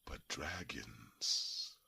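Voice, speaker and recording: A man playing an ancient, grieving male dragon in an ASMR fantasy roleplay, speaking in a very deep, gravelly, breathy whisper with halting, deliberate phrases. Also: expressive, sharp tone, full character voice. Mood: solemn, tender. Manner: slow, deliberate, halting phrases with long pauses.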